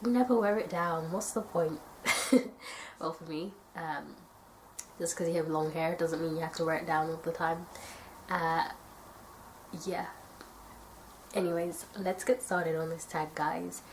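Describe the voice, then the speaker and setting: A woman's voice: low-pitched, fairly even intonation, unhurried, pauses often, slight background noise, rough recording. Stuffy-nosed young woman vlogging. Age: young adult. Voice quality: slightly raspy. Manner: chatty, thoughtful, rambling with fillers. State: stuffy nose from a cold. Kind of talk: casual tag video talk to camera.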